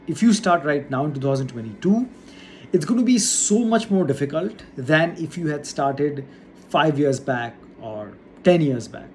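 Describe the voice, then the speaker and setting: A YouTuber from India talking earnestly and casually to his audience, with a measured pace and frequent "uh" fillers. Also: fairly high pitch, dark tone, slight background noise. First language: not Hindi; English not first noted. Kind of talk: casual talk to camera. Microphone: studio setup.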